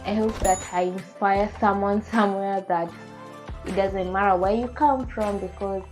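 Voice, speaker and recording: A woman's voice: mid-range voice, steady tone, normal speed, over music. A woman in her twenties with a Kenyan accent, chatting casually to her viewers as a beauty and lifestyle vlogger in an earnest, emphatic manner. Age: 20s. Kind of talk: casual chat to camera.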